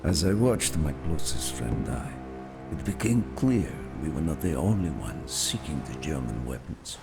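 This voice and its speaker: An actor playing an embittered older Russian soldier with a gravelly voice and Russian accent, gravely narrating a betrayal in a video game. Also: male voice, very deep voice, sing-song, measured pace, heavily acted. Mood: mournful anger. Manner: grave, brooding. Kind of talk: dramatic scripted narration. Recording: loud music under the voice.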